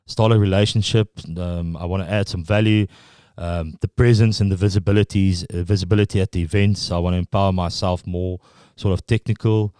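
Middle-aged South African guest, Afrikaans accent, resonant low voice, stop-start delivery. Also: male voice, steady tone, mellow, clear, resonant tone, no room sound, clean studio sound. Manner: halting, full of restarts and fillers. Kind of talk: casual interview chat.

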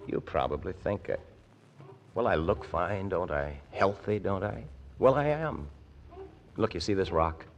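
An actor playing a troubled, shy young soldier in an old radio drama, speaking in a halting, self-effacing stammer. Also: male voice, low-pitched, expressive, dull audio. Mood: subdued, earnest. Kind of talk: 1940s radio drama acting. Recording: noisy old radio recording.

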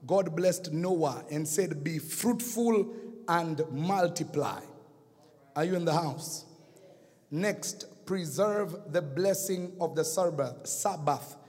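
Slow, dramatic preacher with a Kenyan accent. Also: male voice, a little high, in a large hall. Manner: dramatic, swings from hushed to shouting. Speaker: pastor. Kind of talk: sermon with storytelling. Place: church hall.

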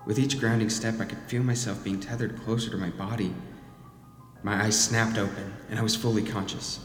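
A man's voice: fairly low pitch, flat delivery, brisk, lightly acted. Horror audio drama: male actor as troubled man under a cursed mask's hold, dramatic confessional delivery, heavy hall reverb, music underneath. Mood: haunted. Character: troubled man narrating his possession by a cursed mask. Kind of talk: first-person horror audio drama narration.

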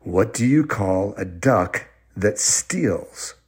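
A man voicing a hungry, gloating troll in a told story, menacing and drawn-out, with theatrical groans. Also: fairly low pitch, expressive, breathy edge, exaggerated voice. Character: gloating hungry riddle-game troll, sore loser. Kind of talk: hammy oral storytelling character.

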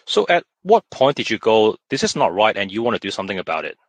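A man from Hong Kong hosting an interview, asking questions in a relaxed, friendly way. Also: fairly low pitch, fast, husky, no echo. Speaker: host. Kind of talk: conversational interview.

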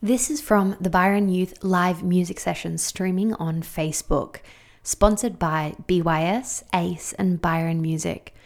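A woman's voice: on the low side, fairly even intonation, unhurried. A woman reading a scripted announcement.

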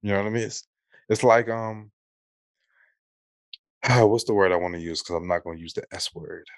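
American male podcast co-host, low register, dry close sound, opinionated and slangy. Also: normal speed, no echo. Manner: opinionated, colloquial, mildly profane. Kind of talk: casual unscripted chat.

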